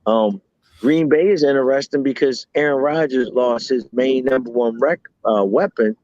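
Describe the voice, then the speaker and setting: A man co-hosting a podcast, chatting casually about football, talking in quick bursts and repeating himself. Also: medium pitch, dry recording, lo-fi sound. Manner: animated, talks in bursts with repeats. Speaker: podcast co-host. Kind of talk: casual sports chat.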